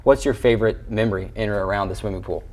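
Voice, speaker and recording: Low-voiced male sports show host. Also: fairly even intonation, quick pace, a little mellow. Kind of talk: conversational sports interview.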